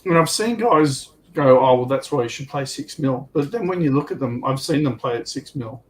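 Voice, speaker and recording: A man's voice: mid-range voice, lively intonation, normal speed, very noisy. A man on a livestream panel, chatting casually and telling anecdotes in a loose, informal way. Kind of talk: casual chat.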